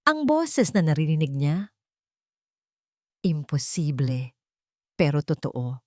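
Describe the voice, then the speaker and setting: A woman's voice: deep voice, big pitch swings, slow, dry recording, clean studio sound. A woman narrating a scripted audiobook story in a dramatic style.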